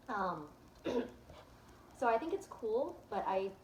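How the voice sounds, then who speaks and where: A woman's voice: fairly high pitch, very animated, takes it slowly, roomy sound, slight background noise, poor audio. A woman vlogger chatting casually as she clicks through her results, with plenty of ums and restarts. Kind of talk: casual chat, walking through results on screen.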